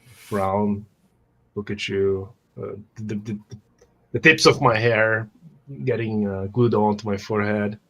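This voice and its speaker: A man with a slightly hoarse voice from a cold hosts a tabletop role-playing session. He chats casually and trips over his words with restarts as he plays his character. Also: on the low side, fairly even intonation, measured pace, fairly bright tone, low-quality recording. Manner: chatty, stumbling restarts while role-playing. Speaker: host and player.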